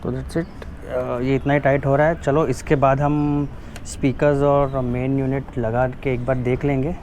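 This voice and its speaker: A man's voice: mid-range voice, little pitch movement, unhurried, a little mellow. Indian guy doing a casual unboxing vlog, normal mid voice, mixes in english, some noise behind him. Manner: informal, switches between Hindi and English. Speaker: motovlogger presenting a product. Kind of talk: casual unboxing and installation walkthrough.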